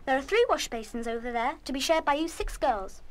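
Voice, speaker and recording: A woman's voice: high-pitched, lively intonation, fairly quick. A woman playing a bossy older schoolgirl in charge of a dormitory, firm and officious, in a children's radio play. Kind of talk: full-cast children's radio play acting.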